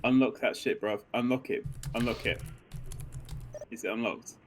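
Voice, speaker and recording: British male gamer, mid-pitched, slangy joking chat, clear background noise with keyboard clicks. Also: steady tone, moderate pace. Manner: slangy, jokey. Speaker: co-host of a game playthrough. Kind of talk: casual joking banter.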